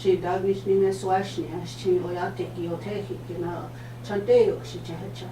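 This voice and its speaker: A woman leading a prayer on a radio show, speaking softly and haltingly with pauses. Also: lowish voice, level tone. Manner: soft, halting, with pauses and fillers. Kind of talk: spoken prayer and remembrance. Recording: roomy, distant mic, noisy.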